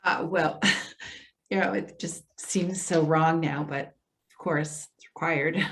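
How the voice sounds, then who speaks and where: A woman's voice: sits low, steady tone, slow, slight background noise. A woman playing an earnest, apologetic social worker in readers theater, speaking with careful, placating hesitation. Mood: conciliatory.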